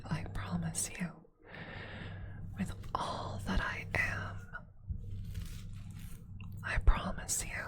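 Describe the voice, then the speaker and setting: A woman voicing a tender, possessive female vampire lover in an ASMR roleplay, speaking in a slow, soothing, intimate breathy whisper with lingering pauses. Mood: devoted, tender.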